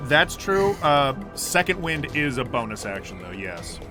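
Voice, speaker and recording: A man's voice: mid-range voice, big pitch swings, measured pace. A man bantering casually and jokily as a player in a tabletop roleplaying game, tossing out catchphrases. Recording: music playing over the voice.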